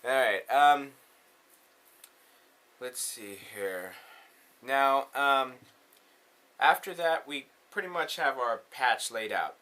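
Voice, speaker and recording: Bright-voiced man giving a tutorial. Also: medium pitch, animated, slow pace, long pauses. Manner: informal, slangy. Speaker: tutorial host. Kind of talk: casual hands-on tutorial.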